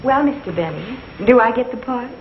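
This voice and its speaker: Poised, coy young actress, fairly high voice. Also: female voice, expressive, a little fast. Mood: composed, forward. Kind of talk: 1960s TV sitcom acting. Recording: dull, noisy old TV soundtrack, audience.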